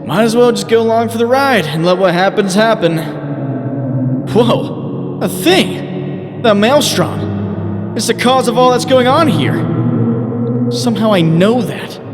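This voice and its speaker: Guy doing young smartass superhero for a comic dub, dramatic and wry, super animated, music behind. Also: sits high, slow pace, pauses often. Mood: resigned, then startled. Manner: sardonic, quippy, theatrical inner monologue. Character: young wisecracking superhero, self-pitying yet dauntless. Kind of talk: comic dub character acting.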